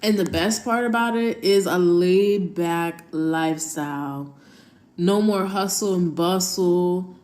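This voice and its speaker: A woman chatting casually as a vlogger, animated and full of "like". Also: on the low side, slow pace. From United States. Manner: animated, heavy use of "like". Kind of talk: casual chat.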